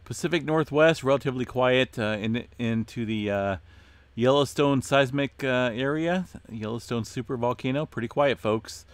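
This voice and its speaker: A man hosting an earthquake update, talking casually through his screen with many fillers and a voice going hoarse. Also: medium pitch, moderate pace, no room sound. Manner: relaxed, many "uh" fillers, addresses viewers as folks. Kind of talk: casual screen-share commentary.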